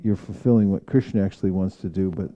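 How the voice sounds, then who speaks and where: A man speaking as a spiritual teacher in an informal devotional discussion, reflective and halting in his phrasing. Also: low-pitched, warm tone, highs cut off. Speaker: spiritual teacher leading a scripture class.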